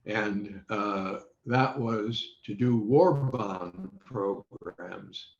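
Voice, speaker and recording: Elderly man, slow and halting, roomy sound. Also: fairly low pitch. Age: 80s or older. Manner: halting, measured, with long pauses. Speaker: interview guest, former radio quiz show child panelist. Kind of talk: unscripted reminiscing in an interview. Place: indoors, on a video call. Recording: dull, roomy video call audio.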